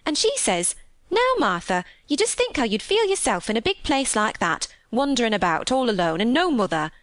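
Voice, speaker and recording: A woman reader puts on a young Yorkshire housemaid for an audiobook. The girl is cheerful and excitable, rambling on in homely dialect. Her voice is lifted and very lively, in a dry recording. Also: a little high, moderate pace, no echo, pitched above the performer's own voice. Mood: chatty, earnest. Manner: eager, rambling, folksy dialect storytelling.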